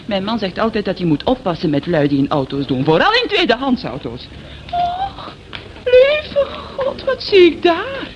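A woman's voice: fairly high pitch, huge pitch swings, normal speed. A woman acting a nosy, chatty, complaining housewife in a Dutch radio play, given to exclamations. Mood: scandalised. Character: nosy gossiping housewife, forever quoting her husband. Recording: dull old radio recording, faint noise.

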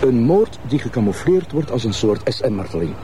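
A man's voice: medium pitch, sing-song, moderate pace, mellow, a little hiss or hum, natural voice. A man playing an earnest, sceptical junior Flemish detective who reasons politely and methodically in a TV crime drama. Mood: pensive, sceptical. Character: earnest, sceptical junior male police detective, deferential to his boss. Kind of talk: naturalistic TV crime drama acting.

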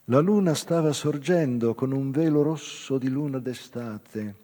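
An actor playing a wistful, intense man recounting an old love in a deliberate theatrical reading, with pauses and a voice that can sink toward a whisper. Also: mid-range voice, mellow, natural voice. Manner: slow, deliberate, pauses, sometimes dropping to near whisper. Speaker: actor narrating a literary monologue. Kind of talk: theatrical dramatic reading of a first-person story. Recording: reverberant hall, live guitar music behind. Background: plucked guitar music.

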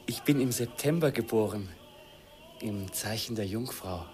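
Actor as a nineteen-year-old student, earnest, slow-spoken, pedantic explainer, radio play. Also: male voice, on the low side, music in the background, close to an everyday voice. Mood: shy. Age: young adult.

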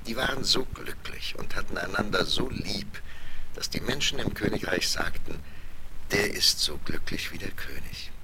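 A man narrating a scripted fairy tale as storyteller, in a measured, expressive way. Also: fairly low pitch, vocal fry. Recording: 1970s vinyl rip with faint noise.

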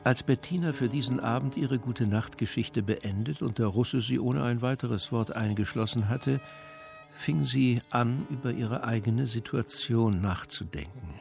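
A man narrating a radio crime drama in measured, long flowing sentences, with a slightly gravelly voice. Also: medium pitch, darkish tone. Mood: composed, reflective. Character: composed narrator of a crime story. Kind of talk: scripted third-person radio drama narration. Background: music.

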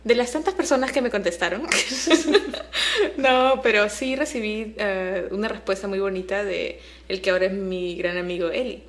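A woman's voice: medium pitch, moderate pace. A woman who is a native Spanish speaker co-hosting a casual podcast, chatty and laughing often. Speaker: podcast co-host. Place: Boston.

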